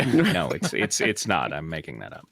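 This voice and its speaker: A man's voice: rumbling low, huge pitch swings, moderate pace, vocal fry, a bit bright, no echo, studio quality. A man in casual, joking chat as a cast member at an amateur reading.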